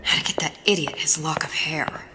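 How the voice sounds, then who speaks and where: A woman voicing a dry, sharp-witted heroine. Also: low voice, normal speed, natural voice. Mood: whispered musing. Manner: dry, cutting; hushed whisper when musing.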